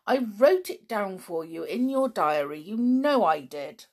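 A woman's voice: mid-range voice, very animated, measured pace. A woman voicing a caring wife who fusses reproachfully over her neglectful husband. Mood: fussing. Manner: reproachful and worried.

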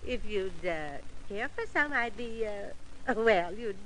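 A woman's voice: medium pitch, animated, takes it slowly, fairly bright tone. An actress playing a chatty, flustered, sociable woman who manages a building, hesitant and polite, in a classic radio drama. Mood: flustered, inviting. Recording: slightly noisy old radio recording.

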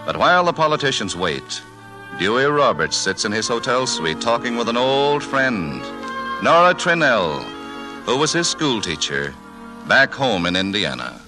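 A man's voice: medium pitch, big pitch swings, unhurried. A man announcing and narrating a scripted radio drama in 1940s broadcast style. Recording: old radio broadcast, loud music behind.

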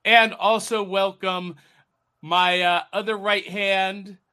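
A man's voice: high-pitched, little pitch movement, takes it slowly, bright, forceful tone, smooth. A man hosting a casual podcast over a video call, upbeat and giving his guests big buildups. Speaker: podcast host.

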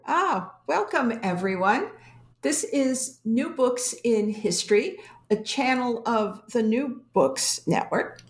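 A woman hosting a history book podcast, introducing and questioning her guest in a measured way. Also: on the high side, lively intonation, soft background music. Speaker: podcast host. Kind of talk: podcast interview.

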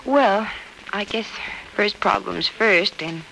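An actress playing a selfless, earnest young American daughter in a sincere, emotive old radio soap opera style. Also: female voice, medium pitch, slow, creaky, fairly bright tone. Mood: determined. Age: young adult. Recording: noisy vintage radio drama recording.

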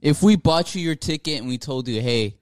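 A man's voice: a little high, expressive, a little fast, darkish tone, clean tone, no echo. Playful man who laughs a lot. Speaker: podcast co-host. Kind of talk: casual chat among friends.

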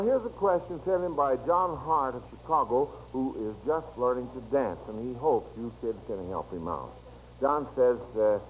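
An American man hosting an old-time radio quiz show, encouraging and praising the contestants as he reads out questions. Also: medium pitch. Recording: noisy old radio recording.

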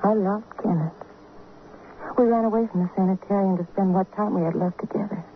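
Frail, bereaved young-love heroine, female, played by an actress: fragile, halting, earnest radio drama delivery on a thin, faintly hissy recording. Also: mid-range voice, natural voice. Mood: sorrowful. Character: frail, grieving sick woman suspected of killing her lover. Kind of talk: 1950s radio drama acting. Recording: tinny, faintly noisy old radio recording.

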